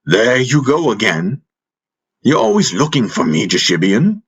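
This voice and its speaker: Man voicing a husky, probing spiritual master. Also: medium pitch, wildly swooping pitch, unhurried, pitched above the performer's own voice, exaggerated voice. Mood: teaching, chiding. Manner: instructive, rhetorical questioning with prompting hums. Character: wise male spiritual master, teasing, probing and patient. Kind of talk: audiobook character dialogue.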